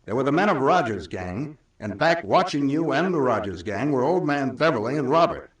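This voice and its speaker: Man acting as a shrewd, animated police captain. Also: mid-range voice, close to an everyday voice. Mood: explanatory, grave. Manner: deliberate, emphatic, storytelling explanation. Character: shrewd, authoritative police captain explaining a case. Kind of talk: old-time radio drama acting. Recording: roomy, distant old radio recording.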